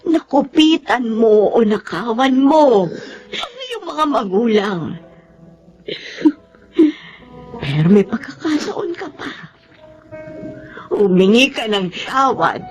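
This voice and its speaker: Slow, swooping Filipino grandmother. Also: fairly high pitch, animated, faint music behind. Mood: gently admonishing. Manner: deliberate, emotional, admonishing. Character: elderly grandmother, loving but firmly moral. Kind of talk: Filipino radio drama acting.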